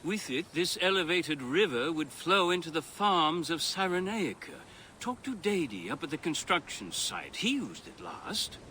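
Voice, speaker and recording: An actor playing an earnest, educated Roman architect in a video game, speaking formally and with theatrical eloquence. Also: male voice, fairly high pitch, sing-song, a little slow, pushed and bright, a little hiss or hum, exaggerated voice. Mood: urgent, courteous. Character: earnest, educated Roman male architect, past his youth, conscientious. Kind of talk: video game cutscene dialogue.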